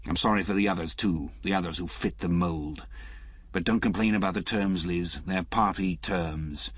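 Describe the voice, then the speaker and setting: A man's voice: deep voice, moderate pace, breathy edge, dry recording. A man voicing a hardened, cynical male spy who speaks curtly and sarcastically. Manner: curt, sarcastic.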